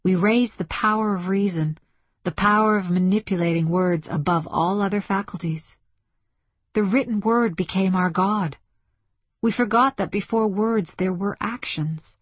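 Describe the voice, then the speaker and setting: A narrator, a woman, plays an impassioned female delegate in a science fiction audiobook. She declaims earnestly and emphatically, with a husky edge and a dry, close sound. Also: medium pitch, no echo. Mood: sad, reflective. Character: intense, impassioned woman delegate awakening to alien insight.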